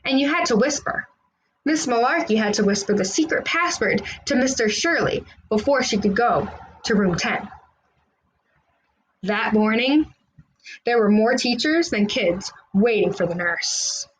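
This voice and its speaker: A woman's voice: a little high, expressive, measured pace, slight background noise, low-quality recording. A woman reading a children's storybook aloud, narrating expressively in the words of a school kid. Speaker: children's read-aloud host.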